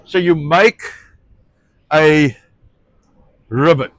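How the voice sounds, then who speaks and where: A man with a South African Afrikaans accent and a fairly high-pitched voice talks outdoors about his ranch work. He often stalls on words, filling gaps with "uh" and "you know" and restarting his sentences, and he swears offhandedly. The sound is dry and close. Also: very slow, no room sound, poor audio. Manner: searches for words, frequent fillers and restarts, swears casually. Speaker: ranch owner and show host. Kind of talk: casual outdoor project talk.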